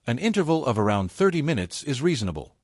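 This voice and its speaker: A man reading a scripted technical tutorial step by step in a measured, methodical way. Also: medium pitch, sing-song, a little mellow, no room sound, clean studio sound. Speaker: tutorial presenter.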